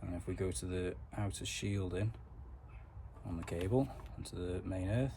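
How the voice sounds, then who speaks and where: Male DIY mechanic vlogger, low and monotone, informal step-by-step delivery, noticeable background noise. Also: moderate pace, creaky. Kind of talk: casual hands-on tutorial narration.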